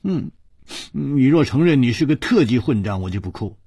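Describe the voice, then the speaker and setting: A man's voice: mid-range voice, sing-song, moderate pace, warm tone, breathy edge, no echo, studio quality, voice pushed up. Man acting out a spirited, petulant young princess. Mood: pouting. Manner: petulant, exclaiming, stammering when upset. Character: spirited young princess, teary, teasing and quick to rage.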